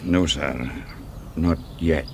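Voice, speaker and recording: An actor playing a guarded, deferential police constable in a radio mystery, speaking tersely and with cautious politeness. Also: male voice, very deep voice, extremely slow, darkish tone, a little hiss or hum, full character voice. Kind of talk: radio mystery drama acting.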